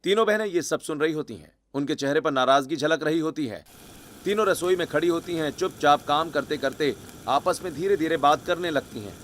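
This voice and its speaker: A man's voice: on the high side, fairly quick, a bit bright, slight background noise. A man narrating a scripted cartoon family story.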